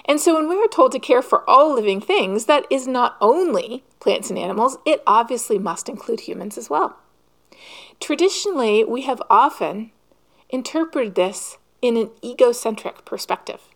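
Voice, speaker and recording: A woman with a slightly higher voice delivers a sermon. She speaks earnestly and at a measured pace, often pausing to pose rhetorical questions. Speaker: preacher.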